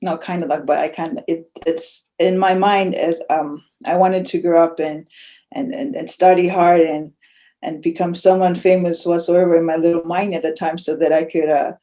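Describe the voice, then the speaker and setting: A young woman from Tonga, a guest on a podcast, speaking softly and with feeling. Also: lowish voice, steady tone, moderate pace. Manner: soft-spoken, emotional, laughs often. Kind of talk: casual interview.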